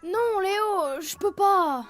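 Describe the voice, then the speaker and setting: A woman's voice: very high-pitched, animated, slow, fairly bright tone, exaggerated voice. A woman voicing a timid, good-natured young male tiger cub in a children's cartoon, hesitant and childlike. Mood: timid, reluctant. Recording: light music behind.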